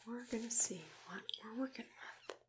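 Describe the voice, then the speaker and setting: Young adult woman, ASMR dentist roleplay. Whispery and soft-spoken, gentle, reassuring, close-miked. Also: on the high side, moderate pace.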